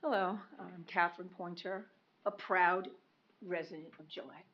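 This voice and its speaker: A woman speaking up during public comment at a county meeting. Her voice is loud and a little low, and she presses her questions with insistence. The meeting recording sounds dull and lo-fi. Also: fairly even intonation, moderate pace. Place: county commission meeting room.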